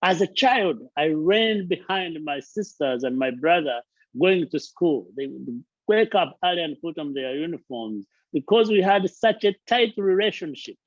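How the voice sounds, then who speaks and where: A man's voice: fairly high pitch, lively intonation, measured pace, no room sound, highs cut off. A man hosting his channel, giving an earnest motivational talk, stressing points by listing and repeating words. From Uganda. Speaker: charity founder and channel host. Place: friend's house, indoors.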